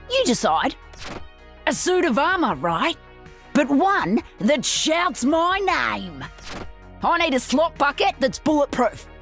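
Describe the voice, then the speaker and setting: Brash wasteland woman, high, swooping, slow and bossy, game character lines over faint music. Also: fairly bright tone, heavily acted.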